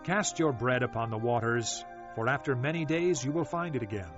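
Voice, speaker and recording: A man plays a solemn, reflective sage declaiming ancient scripture with measured expression. The recording is dull and lo-fi, with clear music playing behind the voice. His pitch sits in the middle of the male range, in the manner of a dramatized audio Bible. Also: close to an everyday voice.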